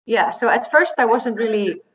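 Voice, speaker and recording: A woman, answering interview questions in English with many pauses, fillers and restarts. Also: on the high side, expressive, a crowd in the background. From Iceland. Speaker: interview guest, biotech executive. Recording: slightly dull, faint noise.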